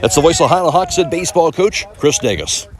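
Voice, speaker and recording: A man's voice: a little high, lively intonation, brisk. A man working as a radio sports broadcaster, conducting a pre-game interview. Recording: noisy outdoor field recording.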